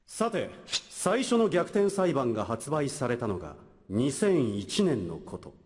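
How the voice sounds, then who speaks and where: An actor playing a haughty young prosecutor in a comic courtroom skit, with a formal, pompous, dramatic delivery. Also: male voice, mid-range voice, sing-song, a little slow, darkish tone. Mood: proud, nostalgic. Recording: reverberant concert hall, faint music.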